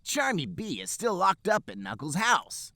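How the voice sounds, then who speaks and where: A man's voice: high voice, huge pitch swings, normal speed, bright, forceful tone, no echo, voice pushed up, heavily acted. A man voicing an earnest, heroic young cartoon hedgehog in a comedic fan fiction reading. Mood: resolute.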